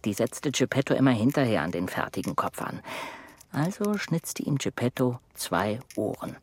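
Casting: wry tiny woodland storyteller, husky and lively, pausing for suspense; children's radio drama. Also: male voice, mid-range voice. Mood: animated storytelling. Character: wry little creature living in wood, telling the story.

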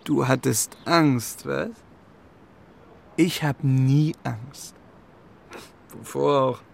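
Cheeky streetwise pigeon, creaky voice, sardonic slangy talk, kids radio drama. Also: male voice, mid-range voice, very slow, a little hiss or hum, exaggerated voice. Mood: teasing. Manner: sardonic, colloquial, swaggering. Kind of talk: children's radio play acting.

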